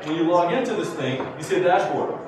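A man with a fairly high voice delivers a keynote presentation to an audience. He punctuates his points with rhetorical questions and short checking tags. The room echoes heavily around him, and he sounds distant from the microphone. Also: expressive, fairly quick. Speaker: keynote speaker, security researcher. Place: indoor conference room with seated audience. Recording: very echoey room, distant mic, clearly noisy.

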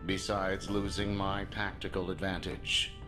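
A man's voice: low-pitched, monotone, unhurried. A man voicing a cold, calculating alien machine tyrant in a video game, with clipped, commanding delivery. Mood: cold menace. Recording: studio voice over game music.

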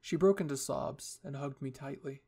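A man's voice: mid-range voice, normal speed, creaky, dark tone, breathy edge. A man reading a scripted story in the first person as its narrator. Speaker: fan fiction reader.

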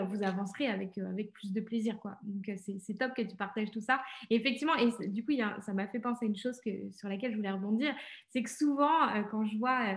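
A woman host with a rather high voice eagerly sums up what her guest has said in a casual interview. Her voice carries a noticeable roomy echo. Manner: enthusiastic, recaps the guest's points.

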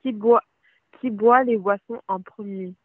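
A woman's voice: medium pitch, fairly even intonation, a little slow. A woman on a video chat, mocking and repeating a pun joke. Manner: mocking, tells pun jokes. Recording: tinny phone-like call audio.

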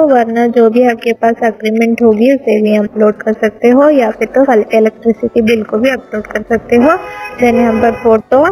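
A woman's voice: fairly high pitch, a little mellow, breathy edge, poor audio. Woman narrating an app walkthrough. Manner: step-by-step instructional, addressing viewers as friends. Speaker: app tutorial presenter. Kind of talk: screen-recorded app walkthrough. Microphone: voice-over over screen recording.

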